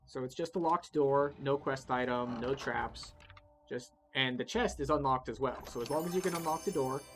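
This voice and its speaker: A man's voice: medium pitch, normal speed, a bit bright. Casual man guiding a game, music behind. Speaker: game tour guide. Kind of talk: casual playthrough commentary. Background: video game music.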